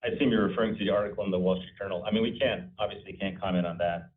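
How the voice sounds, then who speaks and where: A man's voice: low-pitched, very fast. A male company executive giving off-the-cuff answers on an earnings call, often repeating and restarting phrases. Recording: distant mic, muffled phone-like conference line.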